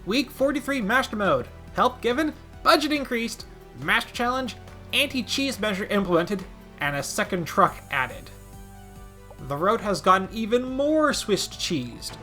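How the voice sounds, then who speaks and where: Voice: mid-range voice, big pitch swings, measured pace, bright, forceful tone, faint music behind. A video game commentator narrating a scripted gaming video with wry, playful asides.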